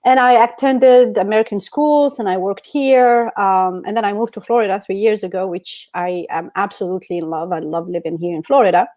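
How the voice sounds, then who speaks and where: A woman's voice: medium pitch, brisk, hardly any pauses, darkish tone. Chatty middle-aged woman, podcast co-host. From Algeria. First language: not English. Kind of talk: casual conversational storytelling.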